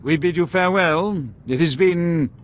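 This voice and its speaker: A man's voice: on the high side, moderate pace, close to an everyday voice. A man playing a stilted, courteous, aloof alien dignitary in a video game. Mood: politely aloof. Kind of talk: video game cutscene acting.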